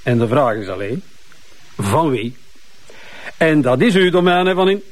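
An actor playing a brisk, wry investigator in a TV crime drama. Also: male voice, a little high, very animated, noisy background. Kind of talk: naturalistic TV crime drama acting.

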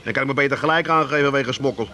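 An actor playing an excitable, nervy working-class son, colloquial and easily flustered, in a comic radio drama. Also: male voice, medium pitch, rapid-fire, lightly acted. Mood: nervous.

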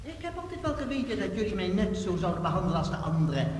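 Radio drama casting: taunting, menacing professor, high animated voice, theatrical courtesy over threats. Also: male voice, fast talker. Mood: bitter, threatening. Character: defiant, threatening professor holding archive tapes hostage. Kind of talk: radio play acting. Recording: echoey reverberant hall, faint hum.

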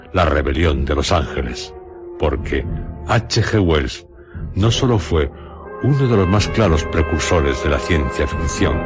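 Really deep castilian guy doing solemn radio storyteller narration, music playing under him. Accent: Castilian Spanish. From Spain. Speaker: host and narrator of a radio drama series. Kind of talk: scripted literary radio narration.

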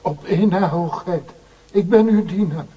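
A man's voice: sits high, normal speed, breathy edge, dull audio. A man playing an aging, self-pitying and boastful Chinese scholar in a radio drama, speaking obsequiously and plaintively. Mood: pleading, humble.

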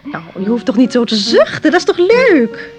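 A doting, fretful middle-aged mother in a radio play. Her voice is high and swoops widely as she gushes or frets. Music plays clearly behind her. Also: sing-song, normal speed. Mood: fretful, disapproving. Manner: gushing, exclamatory, easily upset. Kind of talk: Dutch radio play acting.

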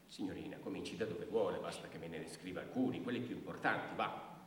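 An actor playing a pedantic, plodding police brigadier taking down a report in a theatrical, bureaucratic manner. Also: male voice, low voice, close to an everyday voice. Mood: patient, literal. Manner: officious, pedantic, literal-minded, slow dictation voice when recording statements. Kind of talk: theatrical stage acting. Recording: very echoey hall from far off, noisy.

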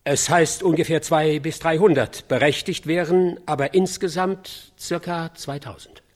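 An actor playing a solemn American field reporter in a radio drama, husky and halting as he describes the scene. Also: a little high, steady tone. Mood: earnest. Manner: halting, solemn, pausing between phrases. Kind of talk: acted on-location news report in a radio drama.

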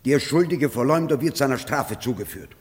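A man's voice: mid-range voice, animated, fairly quick, close to an everyday voice. A man playing a slick, theatrical advocate and notary in a radio drama, speaking in a grandiloquent, declamatory way in a reverberant hall. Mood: outraged, declaiming. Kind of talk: classic German radio drama acting.

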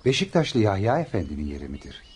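A male actor playing a hesitant, grateful foreign merchant in a radio play, speaking haltingly. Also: sits low, very animated, darkish tone, husky, heavily acted. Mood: polite, wary. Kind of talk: Turkish radio theatre acting.

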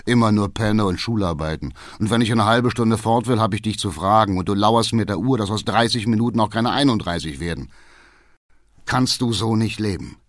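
A man's voice: on the low side, fairly quick, dry recording, studio quality, close to an everyday voice. A man voicing a sullen schoolboy son in an audiobook story, defiant and accusing in manner. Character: sullen, defiant teenage schoolboy son, bitter toward his father.

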